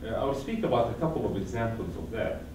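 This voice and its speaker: Man from iraq, 30s, activist panelist, halting delivery, echoey hall, room noise. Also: fairly low pitch, sing-song, far from the mic. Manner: thoughtful, hesitant, many fillers and restarts. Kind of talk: conference talk. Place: conference hall.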